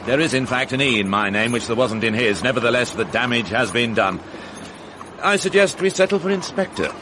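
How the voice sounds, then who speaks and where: A man's voice: medium pitch, expressive, lightly acted. Radio drama role: male actor as British inspector, polite but persistent, dryly ironic, swooping intonation, faint noise behind. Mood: dryly formal. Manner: formal, measured, dryly ironic questioning. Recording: faint background noise on archive radio broadcast.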